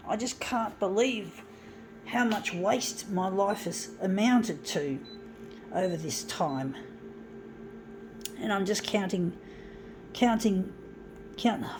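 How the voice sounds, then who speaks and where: Woman, fifties, gravelly voice, self-deprecating rambling vlog, swears when frustrated, faint room noise. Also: mid-range voice, a little mellow, low-quality recording. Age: 50s. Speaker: vlogger. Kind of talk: rambling confessional monologue. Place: indoors, room with a copier.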